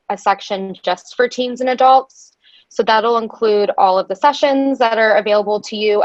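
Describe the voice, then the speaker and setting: A woman's voice: medium pitch, no room sound. A woman presenting a webinar over slides, talking chattily with frequent ums into a computer mic. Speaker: conference organizer and webinar presenter. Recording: dull, dry lo-fi call audio.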